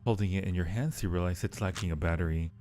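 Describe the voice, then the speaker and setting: A man's voice: deep voice, quick pace, mellow, a little hiss or hum. A man livestreaming a horror game, chatting playfully with viewers, muttering to himself and reading on-screen text aloud. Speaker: live streamer. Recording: music playing behind the voice. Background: game music.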